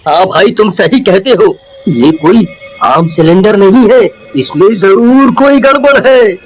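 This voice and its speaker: A man's voice: high-pitched, a little slow, faint music behind. A man playing an anxious, superstitious village man in a cartoon, with dramatic exclamations. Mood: worried. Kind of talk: cartoon story acting.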